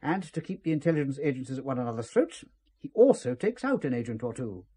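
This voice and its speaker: Government spymaster played by a male actor: suave, ruthless, authoritative, lively intonation, audio drama. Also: medium pitch, quick pace, husky. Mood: grave, briefing. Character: suave, ruthless British government intelligence chief. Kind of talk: spy audio drama acting.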